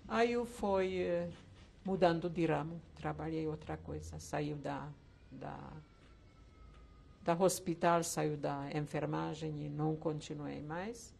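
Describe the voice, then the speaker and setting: An older woman from Czechoslovakia, once a camp survivor, telling her life story slowly with hesitant pauses in an oral history interview. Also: sits low, steady tone, creaky, a little mellow. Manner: slow reflective storytelling with hesitant fillers.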